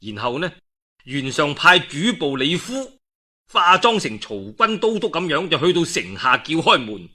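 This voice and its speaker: Male traditional storyteller, Cantonese, slightly high and very forceful, dramatic and chatty in narrating old war tales. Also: measured pace. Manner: dramatic, animated delivery with conversational particles and asides. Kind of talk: traditional Chinese storytelling of a historical novel, colloquial narration.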